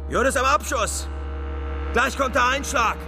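An actor playing a frantic young front-line soldier in a German radio drama, calling out urgently. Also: male voice, very high voice, measured pace, sharp tone, in a large hall, faint music behind, full character voice, low-quality recording.

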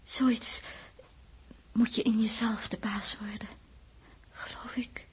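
An actress playing a distressed, pleading woman in a 1960s Dutch radio play, halting and fading towards a whisper. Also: on the high side, flat delivery, breathy edge, heavily acted.

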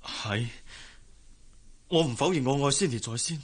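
聲音沙啞、講港式粵語嘅癡情少爺. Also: male voice, mid-range voice, animated, normal speed, husky. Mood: apologetic, pleading. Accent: Hong Kong Cantonese. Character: male master of the house, lovesick, jealous, pleading. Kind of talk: radio drama acting.

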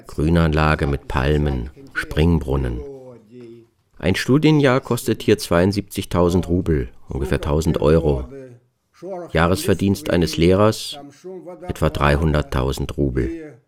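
A man's voice: a bass voice, expressive, measured pace, darkish tone. A man narrating a radio travel documentary from a script in short, laconic observational sentences. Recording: music behind the voice. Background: music.

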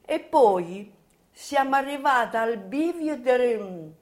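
An elderly woman recounting her memories as personal testimony, halting and often repeating herself as she goes. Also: a little high, expressive, darkish tone. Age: 80s or older. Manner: halting, repetitive storytelling. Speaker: witness giving video testimony. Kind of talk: personal reminiscence, spoken testimony.

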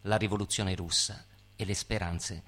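A man narrating a dramatic literary stage piece with measured, emphatic phrasing. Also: deep voice, fairly even intonation, fairly bright tone. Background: guitar music.

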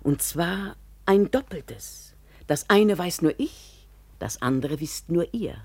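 A secretive, meddling female companion in a vintage radio play. Her low, husky voice is mellow but very animated, coaxing and insisting in a conspiratorial, theatrical way. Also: takes it slowly, no room sound, full character voice. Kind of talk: 1950s German radio drama acting.

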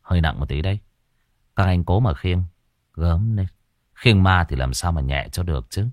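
A man's voice: low voice, animated, normal speed, darkish tone, no room sound, highs cut off, pitched below the performer's own voice. A man voicing a cocky, foul-mouthed young village exorcist in a horror story, sneering and coolly mocking. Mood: half-joking.

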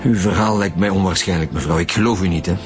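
An actor with a Flemish accent playing a stern, sceptical middle-aged chief detective, blunt and authoritative in a radio drama. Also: male voice, low-pitched, quick pace, music in the background, natural voice.

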